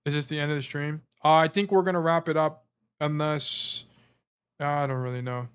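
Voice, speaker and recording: Guy coaching on stream, losing his voice, kinda high pitched, rambly and chill. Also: fairly even intonation, normal speed, smooth. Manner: casual, rambling, filler-heavy explanations. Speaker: game coach. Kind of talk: live-stream gameplay review.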